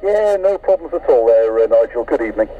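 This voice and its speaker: A man from Britain chatting over CB radio, polite and measured, saying "understood" and "I must admit". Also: medium pitch, very animated, crowd noise. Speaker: CB radio net participant. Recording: distant phone-like radio audio.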